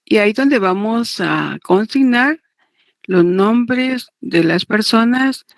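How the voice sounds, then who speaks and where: The sort of deliberate woman you would hear teaching a law class, unhurried and repeating points, recorded close and dry. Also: on the high side, expressive, no room sound. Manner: deliberate, repetitive, checks understanding with tag questions. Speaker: law lecturer teaching notarial law. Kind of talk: didactic lecture, partly read aloud.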